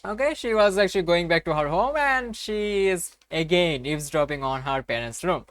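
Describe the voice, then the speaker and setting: A man's voice: high voice, lively intonation, moderate pace, fairly bright tone, clean tone, no room sound. A man hosting a game playthrough, reading a visual novel aloud with casual commentary.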